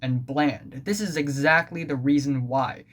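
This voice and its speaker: A man's voice: mid-range voice, measured pace, fairly bright tone. A teenage man from Hong Kong with a Hong Kong accent, Cantonese his first language, rambling through a blunt, casual album review with lots of fillers. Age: teens. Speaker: music reviewer.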